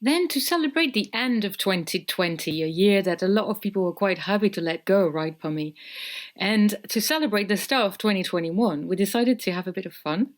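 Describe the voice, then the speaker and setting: A woman's voice: medium pitch, expressive, brisk. French woman co-hosting a podcast. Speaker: co-host. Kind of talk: scripted podcast narration.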